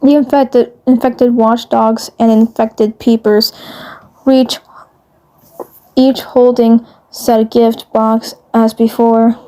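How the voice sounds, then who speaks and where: A woman narrating a dramatic fan-made story in a halting way, often repeating phrases. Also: a little high, flat delivery, mellow, poor audio.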